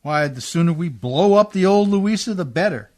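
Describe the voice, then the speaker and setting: A man's voice: high voice, expressive, moderate pace, darkish tone. An actor in a radio play playing a humble, plucky riverboat man with folksy, rustic diction, deferential and plain-spoken. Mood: tender, hearty.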